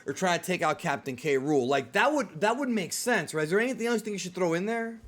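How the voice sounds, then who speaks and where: A man in his thirties with a slightly high-pitched voice hosts a casual podcast chat. He talks in a conversational, opinionated way, like someone discussing films with a fellow fan. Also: expressive, fast. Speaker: host of a film discussion show.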